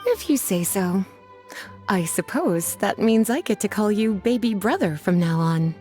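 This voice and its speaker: A woman's voice: medium pitch, animated, measured pace, dark tone, lightly acted. A woman voicing an elegant, playfully teasing young scholar in a video game, leisurely and coy, over music. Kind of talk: scripted game dialogue acting.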